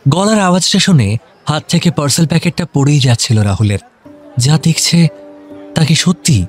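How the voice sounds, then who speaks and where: A man's voice: a little high, expressive, fairly quick, faint music behind. A man narrating a scripted romantic audio story.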